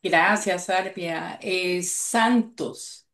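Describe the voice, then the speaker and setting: A woman's voice: fairly low pitch, very slow, fairly bright tone. A woman moderating a video call, briefly thanking each participant and calling on the next. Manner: brief, courteous.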